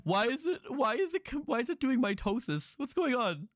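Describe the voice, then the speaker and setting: A man chatting casually as he reacts to a video, with an excitable, exclaiming manner. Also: very high-pitched, moderate pace. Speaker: co-commentator on a watch party.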